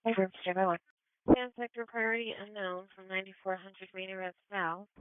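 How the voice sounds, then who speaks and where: A woman's voice: medium pitch, steady tone, fairly quick, clean tone, dry recording. An American woman dispatching police units in procedural style over a narrow-band radio. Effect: police radio transmission.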